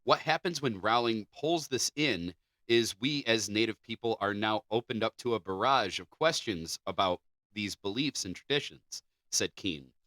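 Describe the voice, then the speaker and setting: Guy doing podcast narration n casual chat, bright punchy voice, dry close sound. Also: on the low side, lively intonation, moderate pace, no room sound, low-quality recording. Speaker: podcast co-host.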